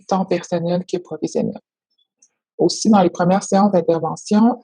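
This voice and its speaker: Female clinician. Mellow, slightly raspy, formal and measured, dry recording. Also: lowish voice, no echo, poor audio. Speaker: occupational therapist. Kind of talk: professional phone consultation, prepared report.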